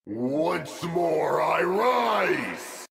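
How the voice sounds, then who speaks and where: A man voicing an anguished undead warrior in a video game, with a theatrical, drawn-out delivery. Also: on the high side, sing-song, vocal fry, full character voice. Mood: anguished, dramatic. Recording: loud music behind, echoey reverberant hall.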